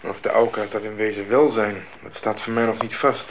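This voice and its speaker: Casting: young missionary man played by an actor, lowish voice, thoughtful, dry humour, radio play, noisy recording. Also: steady tone, a little fast, phone-quality sound, natural voice. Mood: questioning. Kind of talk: 1980s radio drama acting.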